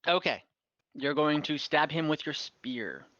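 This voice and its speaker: A man's voice: fairly high pitch, animated, a little slow, bright, forceful tone, no echo, highs cut off. A man acting as game master, casually running an online tabletop role-playing game.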